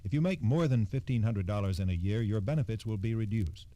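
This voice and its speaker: A man's voice: lowish voice, brisk, dark tone, no echo. A man reading scripted information as a guest spokesman on 1960s radio, formal and matter-of-fact. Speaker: Social Security spokesman guest.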